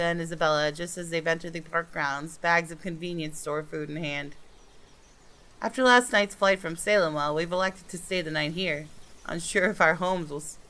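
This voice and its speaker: Bright, forceful low-voiced woman reading aloud. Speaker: gaming video creator reading a game aloud. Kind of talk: expressive narration of visual novel text.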